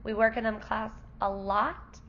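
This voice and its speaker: Teacher lady losing her voice, kinda gravelly and worn, friendly explaining to parents, a bit lo-fi. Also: mid-range voice, little pitch movement, unhurried, a little hiss or hum. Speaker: kindergarten teacher. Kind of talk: parent night presentation.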